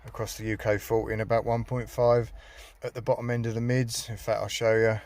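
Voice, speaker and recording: A man's voice: mid-range voice, flat delivery, fairly quick, darkish tone. A British man giving a casual hands-on walkthrough, informal and colloquial, thinking aloud as he goes. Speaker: hobbyist vlogger. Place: in and around a parked car.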